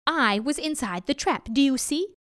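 A woman's voice: sits high, lively intonation, normal speed, fairly bright tone, no room sound. A woman acts out a haughty storybook tiger. He is imperious and easily exasperated, hammering out his words with impatient emphasis in a dry, close recording. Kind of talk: storybook character voice.

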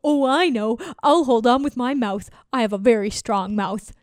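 A woman voicing a talkative, excitable young male turtle who rushes his words together. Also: on the high side, dry recording, clean studio sound, close to an everyday voice. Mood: excited.

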